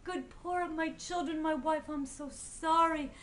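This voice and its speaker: Woman acting a loud, exuberant poor family man. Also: very high voice, slight background noise. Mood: earnest pleading. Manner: loud drawn-out exclamations, earnest pleading. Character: Pinchas, once-gloomy poor father, now bursting with joy.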